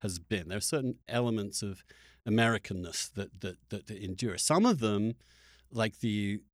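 Halting man in a dry, close recording. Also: on the low side, lively intonation, no room sound. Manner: halting, repeats words while arguing a point. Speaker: podcast host. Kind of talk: conversational interview discussion.

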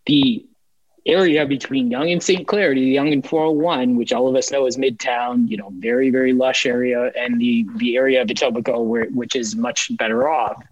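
A man's voice: mid-range voice, steady tone, normal speed, no room sound. A man explaining his points emphatically in a conversational panel discussion. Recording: dry, slightly dull audio.

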